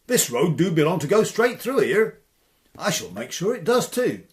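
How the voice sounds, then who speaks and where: A cocky young Cornish lad talks back with cheeky, taunting dialect, voiced by a male storyteller. His voice is loud and lively, jumping up in pitch as he teases. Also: moderate pace. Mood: indignant.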